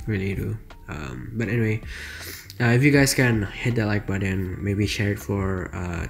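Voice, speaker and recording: Gravelly, hesitant Indonesian-accented young man. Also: on the low side. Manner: hesitant, rambling, apologetic, many fillers and self-corrections. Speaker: city-building gameplay creator. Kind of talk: casual voice-over commentary on a time-lapse build. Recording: faint background music under the voice.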